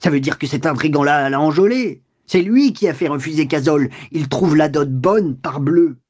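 Reader playing a furious older husband, shouting, loud. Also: medium pitch, normal speed, lightly acted. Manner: shouting, raging accusations. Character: furious wealthy older man, blustering. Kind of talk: audiobook character reading.

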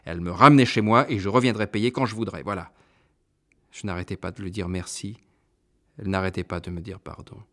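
A man narrating a first-person novel in a measured, introspective way. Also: low voice, bright, forceful tone. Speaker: audiobook narrator.

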